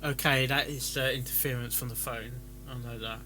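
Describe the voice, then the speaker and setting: A man giving casual live reaction commentary in halting, muttered asides. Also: mid-range voice, fairly even intonation, music in the background. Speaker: streamer reacting to a fan fiction reading.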